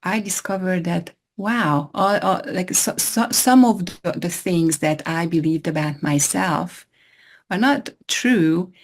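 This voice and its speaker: A woman with a Hungarian accent who speaks English as her second language, answering as a therapist guest in an interview. She talks hesitantly, often restarting her sentences, in a somewhat low voice. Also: slow. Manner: hesitant, many restarts and fillers. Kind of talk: interview conversation.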